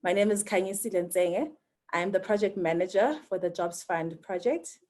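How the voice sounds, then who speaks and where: A woman's voice: medium pitch, normal speed, darkish tone. A South African woman moderating a webinar, giving a formal introduction read from notes with a few fillers.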